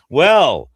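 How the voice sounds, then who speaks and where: A man's voice: a little high, fairly bright tone, no echo. An Australian man co-hosting a casual live show, talking bluntly with some swearing and reading out viewer comments. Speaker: co-host. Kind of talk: casual chat.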